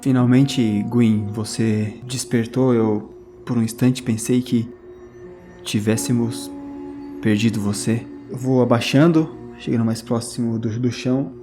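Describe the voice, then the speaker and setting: A man's voice: mid-range voice, steady tone, unhurried. A man with a Brazilian accent as a tabletop RPG player, casually narrating and speaking for his character. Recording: music playing behind the voice. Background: music.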